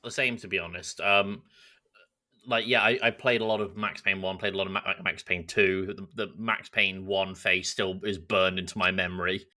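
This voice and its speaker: A man with a fairly low but bright, sharp-edged voice chats casually as a podcast co-host. The delivery is informal and conversational. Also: steady tone, normal speed. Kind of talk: casual chat.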